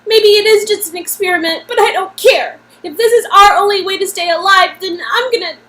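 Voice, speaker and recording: A woman's voice: extremely high pitch, a little fast, a bit bright, a little hiss or hum. A woman voicing an anxious young woman in love, pleading in an emphatic, emotional way, as in a dramatic game reading. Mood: anxious, wistful.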